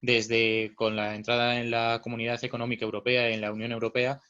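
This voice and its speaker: Interviewer, dull lo-fi sound. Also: male voice, medium pitch, completely flat delivery, brisk, sharp tone, clean tone. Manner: hesitant, many fillers. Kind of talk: interview questions.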